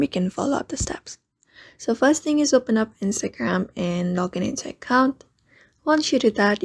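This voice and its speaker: Gravelly, husky woman giving a tutorial. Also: mid-range voice, darkish tone. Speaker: tutorial host. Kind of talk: spoken step-by-step screen tutorial.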